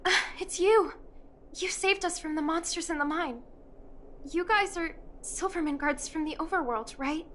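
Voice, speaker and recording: A young child in a story-driven video game. The voice is extremely high, earnest and grateful, with faint ambience behind it. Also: female voice, normal speed, background noise. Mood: flustered gratitude.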